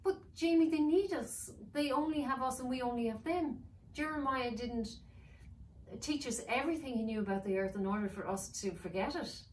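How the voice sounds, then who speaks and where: A woman's voice: sits high, darkish tone, voice pushed up, natural voice. Woman playing an urgent, pleading young girl. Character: earnest, pleading young girl, a loyal sister. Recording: roomy, distant mic with faint noise.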